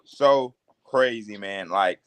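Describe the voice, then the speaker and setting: A man's voice: lowish voice, takes it slowly, fairly bright tone, no room sound. Laid-back young man, slangy talk. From Cleveland, Ohio, US. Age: 20s. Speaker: group chat participant. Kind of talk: casual group chat.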